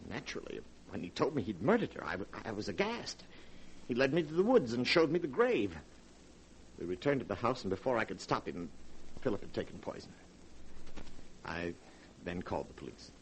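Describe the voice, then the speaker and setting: An actor in a 1940s radio horror drama playing a cold, scheming murderer with a precise, melodramatic American delivery. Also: male voice, medium pitch, sing-song, a little fast, pauses often. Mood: feigned grief, uneasy. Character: cold, scheming murderer posing as grieving brother. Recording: faintly noisy vintage radio recording.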